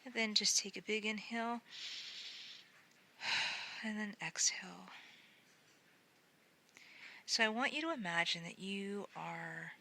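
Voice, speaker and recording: A woman guiding a sleep meditation in a gentle, soothing, unhurried way, often softening into breathy near-whispers. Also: medium pitch. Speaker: meditation guide.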